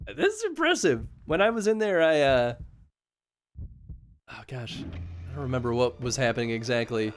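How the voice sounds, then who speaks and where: A man streaming a horror game live, chatting casually and yelling excitedly at the action. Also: medium pitch, normal speed, long pauses, a little hiss or hum. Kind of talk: live gameplay commentary.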